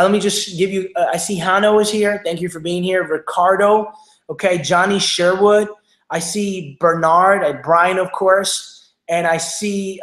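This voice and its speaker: A man with a Mexican accent hosting a motivational livestream on his phone, talking fast and energetically and tagging each point with "right?" or "okay?". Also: high-pitched, fairly bright tone. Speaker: livestream show host. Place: home room without studio lighting. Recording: echoey room.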